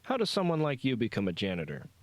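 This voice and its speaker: A man voicing a calm, cryptic man in an audiobook, terse and unruffled. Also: medium pitch, fast talker, no echo, pitched above the performer's own voice.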